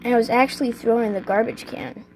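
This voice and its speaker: A vlogger casually showing off a collection, speaking unscripted and haltingly, often stopping to clear the throat. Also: mid-range voice, fairly even intonation, slight background noise, rough recording. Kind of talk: casual unscripted show-and-tell.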